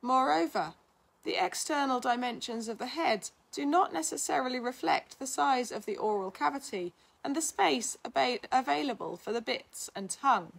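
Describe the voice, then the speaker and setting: A woman narrates a written article in a fairly high voice with a husky, less clear edge. Her delivery is measured and instructional, as a reader explaining material to listeners. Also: expressive, poor audio. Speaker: reader of a blog, physiotherapist. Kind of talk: scripted narration, reading an article aloud.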